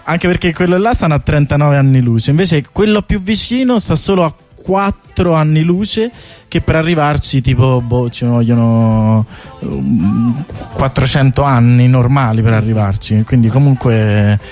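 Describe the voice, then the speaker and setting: A man's voice: mid-range voice, measured pace, darkish tone. A man speaking as a guest in a casual radio interview, explaining things in a rambling, hesitant, informal way with repeated words. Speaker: guest artist presenting a bike tour project. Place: radio studio. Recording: music playing behind the voice.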